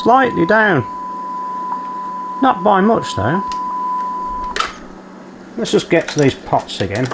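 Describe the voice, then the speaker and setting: A man's voice: sits high, slow, long pauses. A man hosting a repair video, thinking aloud step by step as he gives hands-on technical commentary. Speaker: repair channel host. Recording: clearly noisy, steady hum behind.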